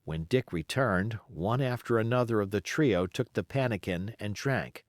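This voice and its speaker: A man reading an adventure story aloud as an expressive audiobook narrator. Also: on the low side, normal speed, dry recording, clean studio sound. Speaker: audiobook reader.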